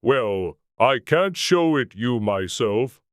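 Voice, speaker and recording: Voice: mid-range voice, lively intonation, slow, dry recording, clean studio sound. A storybook narrator voicing a melancholy, touchy old male turtle who tells tales in a sighing, mournful way. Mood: weary, resigned.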